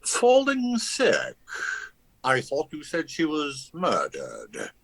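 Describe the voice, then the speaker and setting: A man's voice: on the high side, wildly swooping pitch, slow pace, gravelly, fairly bright tone, full character voice. An actor playing an indignant estate-owning father in a radio drama, formal, affronted and emphatic.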